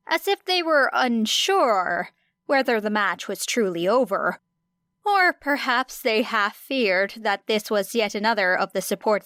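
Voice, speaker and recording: A woman reading scripted fiction as an audiobook narrator, expressive, with deliberate emphasis on key phrases. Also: medium pitch, no room sound, clean studio sound.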